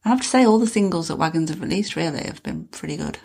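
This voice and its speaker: Woman, slightly low voice, casual podcast chat, enthusiastic. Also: lively intonation, creaky, a little mellow, breathy edge. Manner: chatty, enthusiastic, quick to agree. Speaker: podcast co-host.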